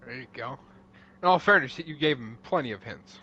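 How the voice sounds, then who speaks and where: A man's voice: medium pitch, animated, brisk, sharp tone, husky, no echo, highs cut off. A man chatting casually while gaming, wry and teasing, often trailing off mid-thought. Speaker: streamer.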